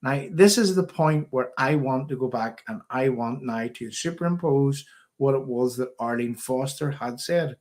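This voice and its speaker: A man's voice: mid-range voice, normal speed, darkish tone. A man with a Northern Irish accent hosting a live political commentary show, talking to viewers in a rambling, repetitive, emphatic way.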